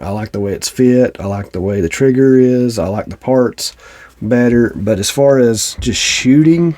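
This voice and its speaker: Middle-aged man, Southern US accent, lowish pitch, soft mellow tone, slightly congested, folksy casual reviewer. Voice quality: slightly congested, stuffy-nosed. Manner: plainspoken, folksy. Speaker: shooting channel host. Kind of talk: casual gun review talk to viewers.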